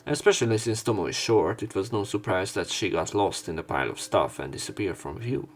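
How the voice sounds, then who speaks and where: A man's voice: on the low side, lively intonation, brisk. A man doing a let's play, reading a visual novel aloud in an everyday voice and adding casual commentary. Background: game music.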